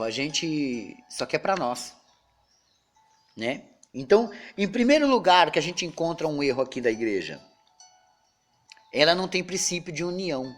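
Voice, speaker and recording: A male pastor with a slightly high, very animated voice leads a relaxed Bible study, chatting to his listeners and tagging on little questions as he goes. Soft music plays faintly behind him. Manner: chatty, peppers talk with tag questions. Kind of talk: informal Bible study with prayer.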